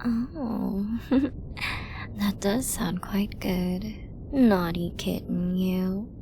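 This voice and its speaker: A woman playing a flirty, dominant villain in an ASMR roleplay, teasing and possessive, often slipping into an intimate whisper. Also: warm tone. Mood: seductive, teasing. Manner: slow, teasing, breathy lapses into whispering. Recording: noisy with low rumble.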